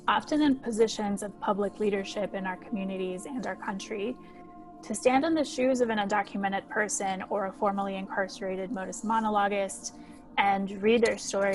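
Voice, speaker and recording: A woman's voice: mid-range voice, low-quality recording. A woman speaking as host and moderator, giving a formal introduction to an event.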